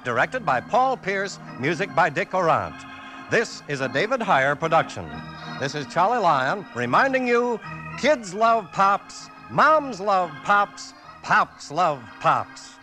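A man's voice: high voice, big pitch swings, takes it slowly, pushed and bright, poor audio. A male announcer on an old 1950s radio broadcast, speaking in an emphatic, promotional style. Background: organ music.

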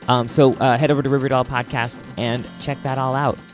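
A man's voice: medium pitch, level tone, fairly quick, soft background music. An American man hosting a podcast solo, chatting informally and enthusiastically. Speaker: podcast host. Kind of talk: casual solo podcast chat.

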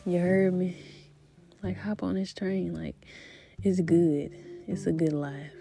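Female podcast host, low gravelly voice, casual and encouraging, guitar bed. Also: steady tone, takes it slowly. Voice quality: deep voice. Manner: rambling, faith-filled, soft laughs. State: recovering voice after illness. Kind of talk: casual spiritual podcast chat.

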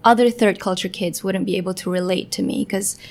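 A woman's voice: medium pitch, steady tone, a little fast, vocal fry. A young woman guest raised in Poland, talking candidly in a casual interview with many fillers. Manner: candid, filler-heavy, many "like" and "you know".

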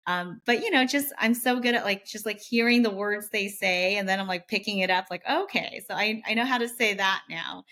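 A woman's voice: mid-range voice, brisk, hardly any pauses, sharp tone. Chatty woman, interview guest. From Vietnam. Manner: chatty, frequent fillers and restarts. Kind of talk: casual interview chat.

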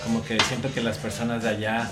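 A man's voice: mid-range voice, little pitch movement, quick pace, echoey room, loud music behind the voice. A man hosting a casual podcast, laid-back and playful. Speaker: podcast host. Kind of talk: casual chat.

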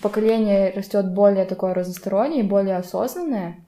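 A woman's voice: medium pitch, a little fast, warm tone. A young adult woman chatting casually as a podcast co-host, sometimes mixing English words into her speech. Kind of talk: casual conversation. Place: room in a home. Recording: roomy home recording.

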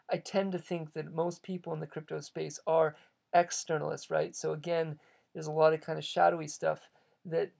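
A man's voice: a little high, gravelly, a little mellow. A man hosting a solo podcast episode, thinking aloud in a rambling, reflective way and often restarting his sentences. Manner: reflective, philosophizing. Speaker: podcast host.

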